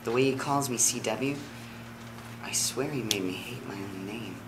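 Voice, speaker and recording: A man's voice: mid-range voice, normal speed, natural voice. Man acting an earnest wounded lover, noisy room. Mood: earnest, uneasy. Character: earnest, wounded, principled young male lover. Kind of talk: dramatic script table reading.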